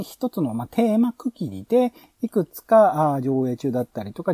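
Male podcast host, animated and quick, explaining politely in an informal discussion. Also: on the high side, no echo. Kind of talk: casual planning discussion.

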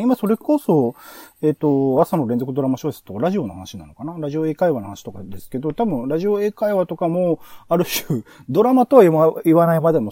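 A man's voice: mid-range voice, warm tone, husky, dry recording. A man co-hosting a podcast, casually reviewing audio programmes in a hedging, self-correcting explanatory way. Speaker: podcast co-host.

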